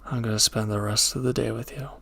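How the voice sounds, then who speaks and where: Man acting a gravelly, breathy reformed villain. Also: fairly low pitch, steady tone, fast talker, fairly bright tone. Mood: soft, devoted. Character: reformed male villain, tender protective lover, gruffly firm. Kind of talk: intimate audio roleplay acting.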